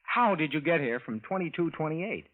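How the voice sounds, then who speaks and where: A man's voice: fairly high pitch, expressive, normal speed, lightly acted. A man acting a folksy, skeptical, bewildered American husband and narrator in an old radio drama, in a colloquial style. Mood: puzzled, pressing. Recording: tinny vintage radio recording, music behind.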